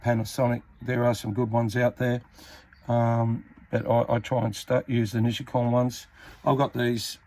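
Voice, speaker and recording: A man giving an informal, matter-of-fact repair tutorial, pausing mid-sentence as he works. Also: medium pitch, dark tone. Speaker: hobbyist radio repairer, video host. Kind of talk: casual hands-on repair tutorial.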